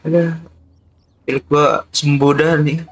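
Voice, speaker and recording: Low-voiced Indonesian woman reacting to a game. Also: steady tone, moderate pace, faint music behind. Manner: informal, amused, reacts in short bursts. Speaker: game streamer. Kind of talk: casual gameplay commentary.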